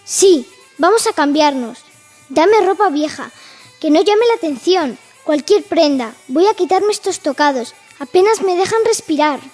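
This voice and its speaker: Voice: very high voice, expressive, measured pace, faint music behind. A performer playing a headstrong, curious little princess, bossy and eager, in a radionovela-style radio drama. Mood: eager, defiant.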